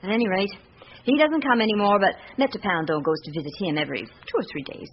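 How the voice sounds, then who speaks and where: An actress with a British accent playing a candid, observant secretary in a radio drama, speaking in a measured, confiding way. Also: female voice, medium pitch, sing-song. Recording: muffled radio recording with background hiss.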